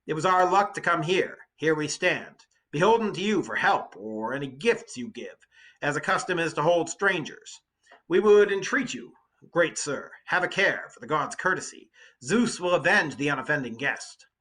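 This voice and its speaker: Guy playing a proud greek wanderer in an epic tale, raised forceful bright voice, formal and emphatic. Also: fairly high pitch, animated, moderate pace, voice pushed up, rough recording. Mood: proud, entreating. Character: Greek warrior wanderer, proud yet courteous, pleading for hospitality.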